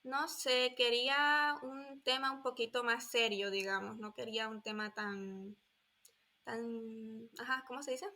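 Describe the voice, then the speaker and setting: A woman's voice: on the high side, measured pace, bright, forceful tone. A woman in her twenties from Colombia, a guest on a podcast, talking casually and often searching for her words. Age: 20s. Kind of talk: casual interview talk.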